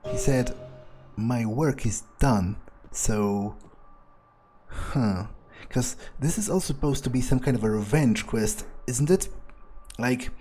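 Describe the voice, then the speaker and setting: A man with a fairly low, mellow voice roleplays his game character, musing aloud. He is unhurried and halting, reasoning things out in a matter-of-fact, casually profane way. Faint music plays behind him. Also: husky. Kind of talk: in-character musing aloud, thinking through events.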